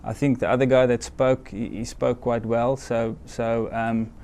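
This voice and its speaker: A middle-aged South African man for whom English is a second language, answering interview questions in a modest, hesitant way with many pauses. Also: mid-range voice, little pitch movement, warm tone, clean tone. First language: Afrikaans or other non-English language. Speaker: team doctor, guest.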